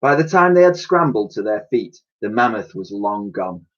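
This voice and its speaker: A man reading a children's storybook aloud in an expressive, dramatic way, as a teacher would to pupils. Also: on the low side, moderate pace. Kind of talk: animated children's storybook narration. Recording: dull, lo-fi recording.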